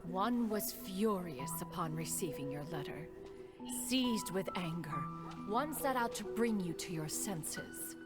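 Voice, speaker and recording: A woman's voice: on the low side, lively intonation, measured pace, over loud music. An actress playing a proud, formal, ancient crane spirit woman in a video game, speaking in a stately, archaic manner. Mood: stern, stately. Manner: stately, archaic, refers to self as "one". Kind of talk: scripted game voice acting, storytelling.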